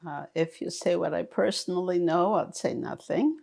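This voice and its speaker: Halting, gravelly woman in her eighties. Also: on the low side, a little mellow. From Romania. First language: German. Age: 80s. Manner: slow, halting, with many fillers and restarts. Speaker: interviewee. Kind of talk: oral history testimony.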